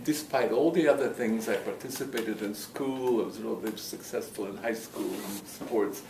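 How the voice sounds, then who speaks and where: A man's voice: lowish voice, moderate pace, creaky. An older man with a slight Latvian accent, reminiscing casually in a conversational, self-deprecating way as an interviewee. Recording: echoey room, distant mic, noisy background.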